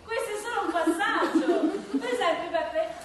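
Casting: a dreamy, unhurried woman in comic theatre, halting delivery, recorded far off in a very echoey hall. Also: very high-pitched, a faint crowd. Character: dreamy woman expecting reincarnation as flower or butterfly. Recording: very echoey hall, distant, faint noise.

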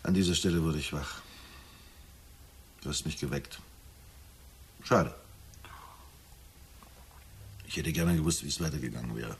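An actor playing a gloomy middle-aged husband in a German radio drama, speaking in a measured storytelling way with dry irony. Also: male voice, rumbling low. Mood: dryly wry. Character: gloomy middle-aged husband recounting a nightmare, wryly forbearing.

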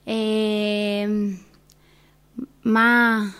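A woman playing a timid, apologetic daughter in her late thirties, with an Argentine accent and halting speech, in a radio theatre exercise. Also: medium pitch, level tone, a bit bright, clear, resonant tone. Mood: nervous, hesitant. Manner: very halting, tentative. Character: timid, apologetic thirty-eight-year-old daughter still at home.

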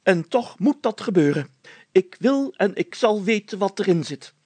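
A man's voice: high voice, animated, normal speed, darkish tone, breathy edge, no room sound, pitched above the performer's own voice. A man voicing a pompous, grumpy, whiny and stubborn mayor in a children's story, blustering and complaining as he gives orders. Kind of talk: children's storybook character acting.

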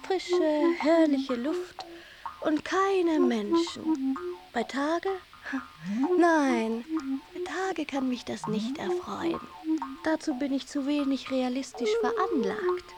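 A woman playing romantic young lady, high voice, slow drawn-out delivery, dreamy self-importance, loud music underneath. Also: lively intonation. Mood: dreamy, affected. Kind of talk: dramatic literary recitation.

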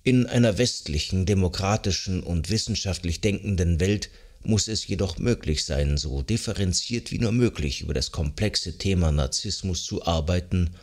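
A man reads scripted nonfiction as an audiobook narrator, in an articulate, measured, explanatory way. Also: low voice. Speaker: audiobook narrator of a psychology self-help book.

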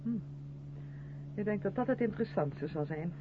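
A woman's voice: medium pitch, lively intonation, normal speed, natural voice. An actress playing an earnest, assured woman who directs an amateur theatre group, in 1970s radio play style. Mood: matter-of-fact. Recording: muffled, noisy old radio recording.

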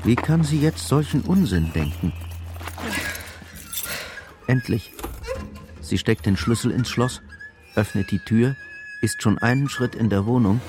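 An actor as the grave narrator of a crime thriller radio drama, telling it in short, scripted present-tense sentences. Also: male voice, medium pitch, lively intonation, a little slow, pauses often, natural voice. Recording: music behind the voice.

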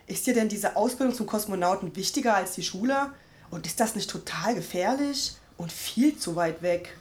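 A woman's voice: medium pitch, expressive, moderate pace, a little mellow, lightly acted. A woman playing a worried, caring mother in a radio play, with a fussing, admonishing manner. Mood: worried, reproachful. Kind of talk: German radio play acting.

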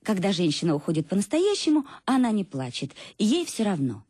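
Husky, expressive middle-aged wife. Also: female voice, medium pitch, brisk, dry recording, clean studio sound. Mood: wry, agitated. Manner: confessional, ironic, addressing an absent husband. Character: embittered, reflective wife recalling her unhappy marriage. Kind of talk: dramatic first-person monologue in a radio play. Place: dry studio.

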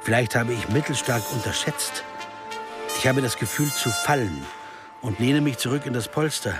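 A man's voice: medium pitch, normal speed, natural voice. Introspective male sleuth over music, played by an actor. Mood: dazed, uneasy. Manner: reflective, intimate storytelling. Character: troubled male American amateur sleuth, introspective, driven, restless. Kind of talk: radio drama acting with first-person narration.

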